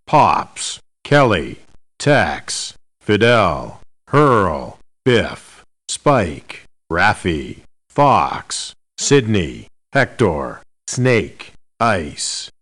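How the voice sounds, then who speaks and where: A man's voice: low voice, a bit bright, heavily acted. An actor playing a cocky, demanding male elite mercenary in a video game, speaking bluntly with clipped indignation. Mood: flat roll call. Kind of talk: video game character voice lines.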